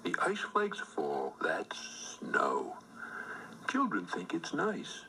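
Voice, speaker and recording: Very animated man reading a children's storybook. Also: low-pitched, rough recording. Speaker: storybook reader.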